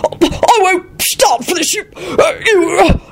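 A woman's voice: very high-pitched, lively intonation, takes it slowly, gravelly, pitched above the performer's own voice, exaggerated voice. Woman playing a bellowing, outraged old man. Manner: bellowing, spluttering. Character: blustering outraged old father, bellowing and unrepentant. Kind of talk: audiobook character acting.

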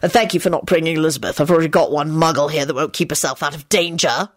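A dry, sardonic male wizard played by a woman narrator, pitched low and wearily unimpressed. He is irritable yet patient, a storybook hero in a close, dry recording. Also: quick pace, dry recording. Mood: dry, irritated.

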